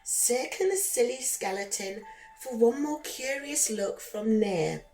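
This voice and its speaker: A woman narrating a rhyming children's bedtime story in a gentle, expressive, singsong way, as a storyteller for young listeners. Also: fairly high pitch, takes it slowly, low-quality recording. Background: background music.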